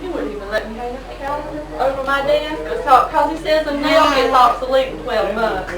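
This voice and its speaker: Woman playing an exasperated, high-voiced wife, echoey stage. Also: brisk, close to an everyday voice. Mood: comic exasperation. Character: exasperated, sarcastic wife griping comically about her husband. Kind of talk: comic stage sketch. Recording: echoey hall from far, audience.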